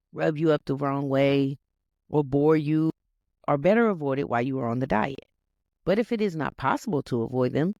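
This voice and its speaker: A narrator reading a self-help text aloud in an instructive, scripted audiobook style, with deliberate emphasis on key words. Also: mid-range voice, creaky, darkish tone, dry recording, studio quality. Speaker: reader, narrator.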